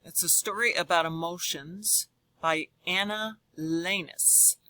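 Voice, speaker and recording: A woman's voice: lowish voice, slow, sharp tone, dry recording, poor audio. A woman teacher reading aloud and explaining to children, speaking expressively and addressing them directly. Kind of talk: children's read-aloud and lesson.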